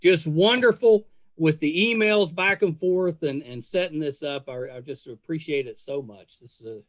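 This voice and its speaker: A man hosting a casual podcast interview, speaking in a halting, rambling and effusive way. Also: a little high.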